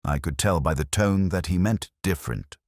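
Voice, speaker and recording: A man narrating a scripted first-person detective story in a measured, brooding, literary manner. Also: low-pitched, a little mellow, no echo, studio quality.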